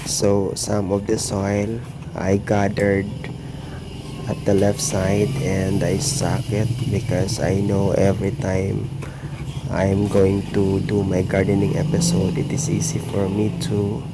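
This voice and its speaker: Slow gravelly Filipino man. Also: low voice, heavy background noise. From Philippines. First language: Tagalog or Filipino. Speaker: gardening vlogger. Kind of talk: narrated gardening vlog. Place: home garden in the province.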